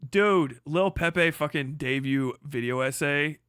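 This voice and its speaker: A man's voice: on the high side, slow pace, a bit bright, clear, resonant tone, no echo, clean studio sound. A young man streaming, chatting casually in a crude, incredulous way with frequent laughter. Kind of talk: casual chat.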